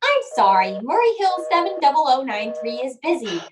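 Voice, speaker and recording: An actress plays a switchboard operator in a vintage-style radio play. Her voice is very high with a sing-song lilt, and she stays polite, formal and impassive. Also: big pitch swings, a little fast, noisy background. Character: unflappable, formal female telephone switchboard operator. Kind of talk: old-time radio drama acting.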